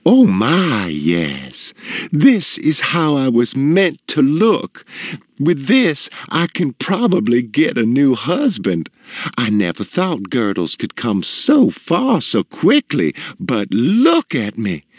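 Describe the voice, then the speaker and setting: A man voicing an imperious, demanding older woman in a story, with drawn-out, commanding words. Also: high voice, big pitch swings, no room sound, clean studio sound, voice pushed up, exaggerated voice. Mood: sweet, rapturous. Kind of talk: storybook character voice in narration.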